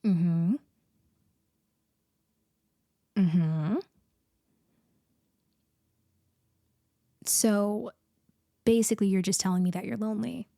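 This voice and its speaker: A woman plays a blunt, caring female best friend in an intimate comfort roleplay. Her medium-pitched voice is conversational and unhurried in feel, with small hesitant pauses. The sound is close and very dry. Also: gravelly, warm tone, no room sound, natural voice. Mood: teasing, blunt. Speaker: audio roleplay performer. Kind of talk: intimate roleplay ASMR, casual one-sided conversation.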